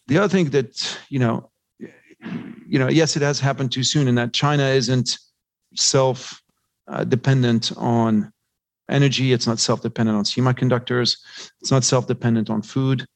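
A man's voice: mid-range voice, steady tone, normal speed, creaky, dry recording. Man, podcast guest, crackly voice, conversational financial commentary, discursive, rhetorical questions, close dry sound. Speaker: podcast guest, investment research analyst.

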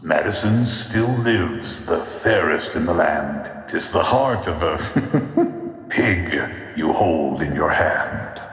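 A man's voice: very deep voice, animated, takes it slowly, fairly bright tone, full character voice. A man playing a brutally honest magic mirror in a comic radio play, shifting between mock-solemn grandeur and wry, casual remarks. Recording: echoey, long hall reverb.

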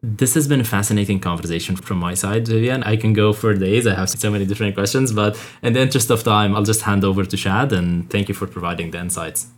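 A man's voice: lowish voice, quick pace, hardly any pauses. A man co-hosting a podcast interview, enthusiastic, with frequent "like" and restarts. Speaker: podcast co-host. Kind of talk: conversational interview.

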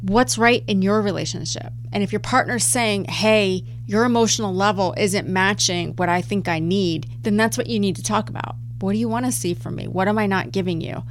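A woman's voice: medium pitch, fairly quick, hardly any pauses. Emphatic woman podcaster, slight background hum. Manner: emphatic, questioning, challenging. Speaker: podcast co-host, therapist. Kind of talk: casual advice podcast debate.

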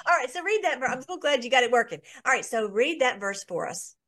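A woman's voice: sits high, sing-song, fast, fairly bright tone. A woman hosting an informal online Bible study, talking in a rambling way with many restarts and fillers.

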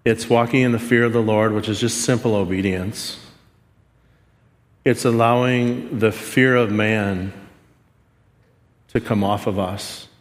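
A man's voice: fairly low pitch, fairly even intonation, a little slow, pauses often. A man giving a sermon, telling a personal story in an earnest, self-deprecating way. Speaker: preacher. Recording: long hall reverb.

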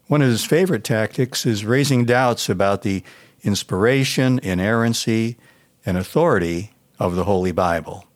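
An American man, a pastor, reading a scripted devotional in a measured, earnest manner. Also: fairly low pitch, dry recording.

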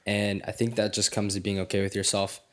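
Low, flat-voiced male podcast host. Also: a little fast. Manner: affirming, reflective, frequent "mm-hmm". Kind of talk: casual faith conversation.

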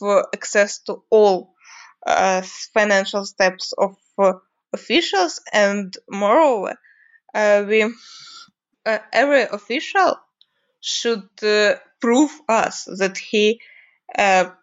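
A young woman from Ukraine with a Ukrainian accent, a native Russian speaker, giving an unscripted monologue with frequent hesitant pauses while she searches for words. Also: medium pitch, animated, smooth. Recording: dull lo-fi recording.

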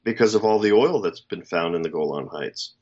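An American man giving casual, opinionated political commentary as a co-host, speaking deliberately with rhetorical questions, on slightly dull audio. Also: low voice, animated, darkish tone, breathy edge. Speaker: talk show co-host.

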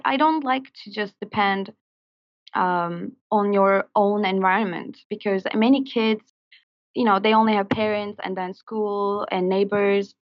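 A woman's voice: medium pitch, no echo. Turkish lady on a podcast, lots of likes and ums, dry dull-sounding audio. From Turkey. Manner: halting, searching for words, many likes and ums. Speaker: podcast guest. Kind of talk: casual interview chat.

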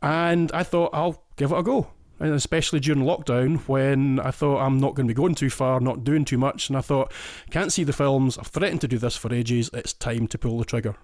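A man's voice: medium pitch, steady tone, brisk, hardly any pauses, darkish tone, no echo, clean studio sound. A Scottish man, a listener guest on a podcast, talking through his setup in casual, detailed and enthusiastic chat, often restarting his phrases. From Scotland.

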